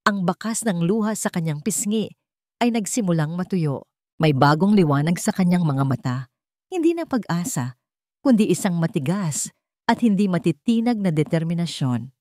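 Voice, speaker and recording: Female Filipino narrator, lower register, deliberate dramatic storytelling, dry sound. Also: sing-song, mellow, no echo.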